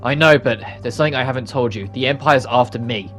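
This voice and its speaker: An earnest fallen knight from a fantasy game, read aloud by a man. He speaks seriously and guardedly in a middle-pitched male voice. Faint music runs underneath. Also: fast talker, fairly bright tone, close to an everyday voice. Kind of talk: reading game cutscene dialogue.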